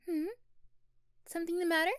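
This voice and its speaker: Woman acting a high-pitched, bashful schoolgirl with a crush. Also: little pitch movement, normal speed, a bit bright, no echo, clean studio sound. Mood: sweet, friendly. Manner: hesitant, giggly, bashful. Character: sweet shy flustered schoolgirl with a crush. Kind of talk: ASMR roleplay acting.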